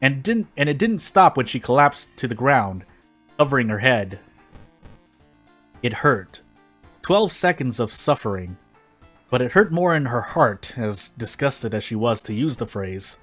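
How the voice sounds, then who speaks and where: A man reads narrative prose aloud in an informal way, at an average male pitch. He sometimes trips on words and goes back over them, with music playing faintly behind him. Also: very animated, a little slow, fairly bright tone. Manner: stumbles over words and rereads phrases. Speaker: fan fiction reader on a gaming video. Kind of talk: informal read-aloud of a story.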